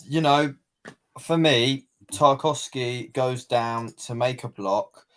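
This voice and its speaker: A man giving his football opinions as a podcast guest, slow and emphatic, indignant about refereeing. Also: mid-range voice, fairly even intonation, fairly bright tone.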